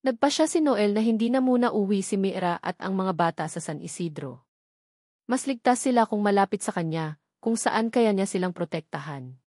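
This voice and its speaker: Audiobook narrator: woman, medium pitch, scripted dramatic romance delivery, very dry sound. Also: animated, moderate pace, a little mellow, no room sound.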